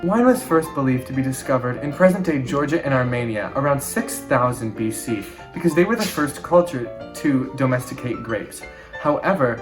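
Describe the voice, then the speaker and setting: A young man presenting scripted educational narration. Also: medium pitch. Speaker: presenter and student actor on a sound team. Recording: roomy echoey recording with music. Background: plucked guitar background music.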